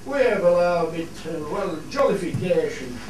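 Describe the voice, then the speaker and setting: A man's voice: sits high, very animated, normal speed, darkish tone. A man playing a plain-spoken Cumbrian fell shepherd who explains things in a radio play, recorded in an echoey room. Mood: explanatory. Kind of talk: scripted radio play monologue in dialect. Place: theatre studio. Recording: echoey hall, distant mic, clear noise.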